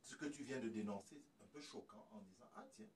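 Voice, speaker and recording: A man's voice sounds somewhat away from the microphone, with slight noise. He hosts in a casual conversational style. His delivery is halting and roundabout, often restarting before he reaches the point. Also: mid-range voice, lively intonation. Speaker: host. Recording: distant mic with noise.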